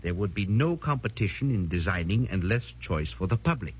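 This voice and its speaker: An actor playing an earnest foreign professor who lectures formally and emphatically in a 1940s radio drama. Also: male voice, fairly low pitch, expressive, a little fast, a little mellow, breathy edge. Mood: emphatic, lecturing. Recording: muffled 1940s radio broadcast.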